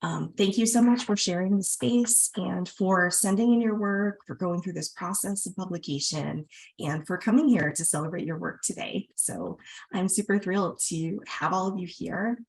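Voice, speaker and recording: Slightly husky, lower-voiced woman hosting. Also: moderate pace, rough recording. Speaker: host of a literary launch reading. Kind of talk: informal welcome and introduction.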